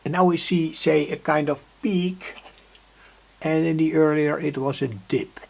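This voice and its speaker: Male hobbyist demonstrator, creaky low-key delivery, slow and halting, explaining a bench setup off the cuff. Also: fairly even intonation. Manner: halting, searching for words, explanatory. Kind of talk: unscripted workbench demonstration vlog. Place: indoor hobby workbench.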